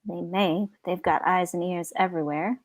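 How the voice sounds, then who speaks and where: A woman voicing a rough, boastful male orc captain with grunting, colloquial speech for a fantasy storybook. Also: medium pitch, animated, measured pace, warm tone. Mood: wary, hushed.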